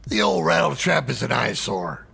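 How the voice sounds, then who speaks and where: Gravelly drawling crime boss. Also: male voice, on the low side, sing-song, normal speed, pushed and bright, heavily acted. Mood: baffled. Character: drawling middle-aged male crime boss, cocky and playful. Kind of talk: cinematic video game acting.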